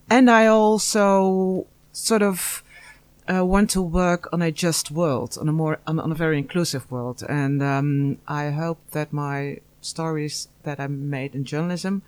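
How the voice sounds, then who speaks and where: A woman's voice: deep voice, steady tone, unhurried, darkish tone. A woman from the Netherlands, a student answering interview questions earnestly, with many hesitations. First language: Dutch. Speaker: master's student.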